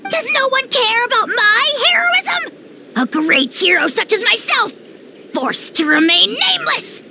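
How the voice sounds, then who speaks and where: A woman's voice: very high-pitched, sing-song, measured pace, heavy background noise, exaggerated voice. A woman voicing a tiny, vain, pompous dragon lord in a video game, with grandiose, theatrical haughtiness. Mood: indignant boasting.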